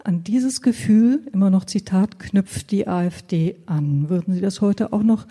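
A woman moderates a live talk before an audience. Her voice is mellow and warm, and her questions come out polite and measured, with the odd hesitant filler. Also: medium pitch, breathy edge. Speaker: moderator and interviewer. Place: public event in front of an audience.

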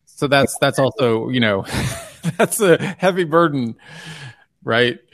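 A man's voice: medium pitch, expressive, slow, fairly bright tone, dry recording. Man, podcast host, affirming, dry close sound. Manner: affirming, thankful interviewer. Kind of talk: interview.

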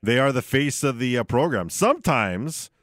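A man's voice: medium pitch, big pitch swings, moderate pace, fairly bright tone, dry recording. A man co-hosting a casual sports radio show from the studio, talking conversationally with hedges and asides.

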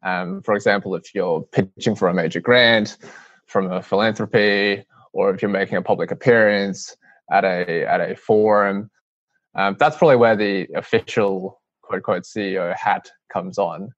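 A young adult man with an Australian accent, answering interview questions in an earnest, measured way with frequent restarts. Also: deep voice, fairly even intonation, no echo. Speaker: guest, CEO of a youth-led charity. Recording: dry, slightly muffled audio.

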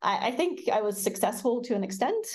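A woman in her thirties from Kazakhstan, a tech executive talking casually as an interview guest, thoughtful and quick to laugh. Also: a little high, very animated, darkish tone. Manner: thoughtful, laughs often, frequent fillers.

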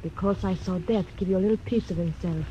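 A woman's voice: lowish voice, fast, mellow, highs cut off, slight background noise, natural voice. An actress voicing a mysterious, teasing young Mexican woman with a Spanish accent, in 1940s radio acting style. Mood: teasing, fatalistic.

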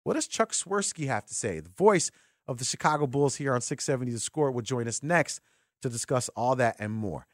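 An American man hosting sports talk radio, talking with callers in an informal, animated, conversational way. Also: mid-range voice, brisk, no room sound, studio quality. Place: radio studio. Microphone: close broadcast microphone.

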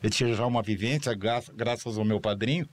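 Lively man telling old radio stories. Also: fairly low pitch, a little fast. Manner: lively storyteller, animated asides. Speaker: guest, former producer. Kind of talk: anecdotal chat.

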